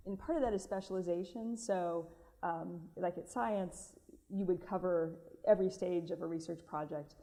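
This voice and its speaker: Brief: female editor, lowish mellow voice, relaxed panel talk. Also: normal speed. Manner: casual, thinking aloud with fillers. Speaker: magazine science editor, panelist. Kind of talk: panel discussion.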